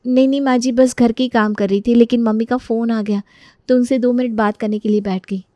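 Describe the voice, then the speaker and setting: A woman's voice: on the high side, steady tone, brisk, warm tone, no echo, lightly acted. A woman voicing a meek, overworked young daughter-in-law who pleads and complains in a dramatised story. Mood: dutiful.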